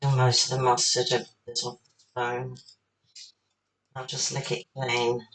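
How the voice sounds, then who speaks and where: A woman, slightly husky and tired, chatting casually to herself while making a salad at home. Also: rumbling low, completely flat delivery, slow, rough recording. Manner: chatty, rambling, talks to herself while working. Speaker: home cook. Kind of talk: casual cooking demonstration.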